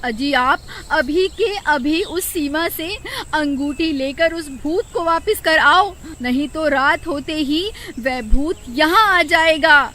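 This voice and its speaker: Lady doing scolding village wife for a cartoon, high voice, slow dramatic complaining, faint noise. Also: pushed and bright, full character voice. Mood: worried, urging. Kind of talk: animated story acting.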